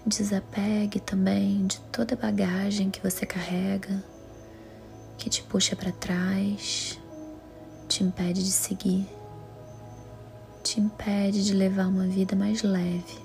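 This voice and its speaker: Slow, soft Brazilian woman guiding meditation. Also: medium pitch, gravelly. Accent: Brazilian Portuguese. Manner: calm, soothing, measured. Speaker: meditation guide. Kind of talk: guided meditation. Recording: music under the voice. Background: music.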